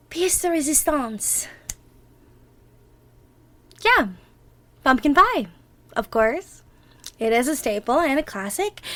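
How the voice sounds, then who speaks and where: A woman's voice: high voice, fairly bright tone, heavily acted. A woman playing an affectionate, playfully bossy girlfriend in a one-sided ASMR roleplay, chatting and thinking aloud as she bakes. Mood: chatty, proud. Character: bubbly, bossy, affectionate young girlfriend baking for Thanksgiving. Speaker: roleplay audio performer.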